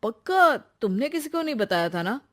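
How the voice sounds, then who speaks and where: A woman's voice: on the high side, big pitch swings, normal speed. Woman voicing a blunt, short-tempered young husband. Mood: exasperated. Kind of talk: Hindi cartoon story dialogue.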